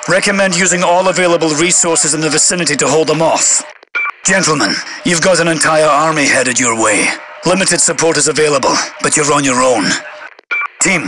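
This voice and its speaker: British major general for military game: stern, clipped, commanding, slightly high-pitched, radio-filtered with static. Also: male voice. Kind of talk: video game voice-over, military radio announcements. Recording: radio crackle and heavy noise.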